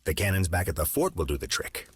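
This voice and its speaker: An actor playing a composed gentleman detective in a video game, husky and purposeful as he guides the player. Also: sits low, very animated, fast. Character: composed, deep-voiced gentleman detective companion. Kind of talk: video game character acting.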